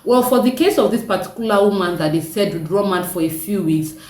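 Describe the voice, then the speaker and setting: Woman vlogging, deepish voice, kinda far off in an echoey room. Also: lively intonation, a little fast, a little mellow, slight background noise. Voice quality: deep voice. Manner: chatty, laughs often, asks viewers to comment. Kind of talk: casual chat to camera. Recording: echoey room, mic set back.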